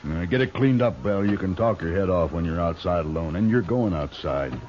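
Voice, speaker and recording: Brutal outlaw, animated American voice. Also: male voice, sits low, fairly quick. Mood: menacing. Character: brutal, conscienceless big outlaw bullying his captives. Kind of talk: old-time radio Western acting. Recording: dull old radio recording, faint noise.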